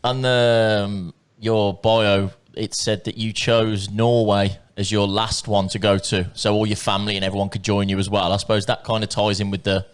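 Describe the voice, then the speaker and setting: A male podcast co-host trades jokey, easygoing banter with the guest. His voice sits on the lower side for a man, and he talks in a loose, casual way. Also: little pitch movement, normal speed, hardly any pauses, clear, resonant tone. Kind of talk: casual interview chat.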